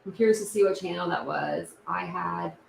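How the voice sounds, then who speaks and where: A woman's voice: on the low side, normal speed, vocal fry, echoey room, slight background noise. A young woman hosting a casual true crime livestream, rambling and chatty with many restarts. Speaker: YouTube true crime channel host.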